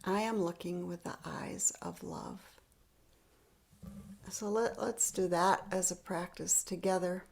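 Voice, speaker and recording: Gentle woman guiding meditation over a video call; very slow, soft, unhurried. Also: on the low side, vocal fry. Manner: soft-spoken, often half-whispered; gentle, unhurried, laughs lightly. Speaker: meditation leader. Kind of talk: guided spiritual practice.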